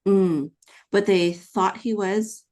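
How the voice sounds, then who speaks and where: A woman's voice: medium pitch, slow pace, a little mellow. A woman with a Californian American accent hosting a conversational podcast interview, explaining her opinions. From California, United States, born in the Philippines. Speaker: podcast host.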